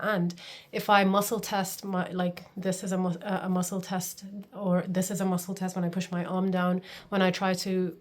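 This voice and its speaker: A woman's voice: on the low side, a little mellow. A woman in her thirties, from Pakistan, talking earnestly and confidingly to camera as a homeschooling coach, often stressing her points with "literally". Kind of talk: personal talking-to-camera vlog.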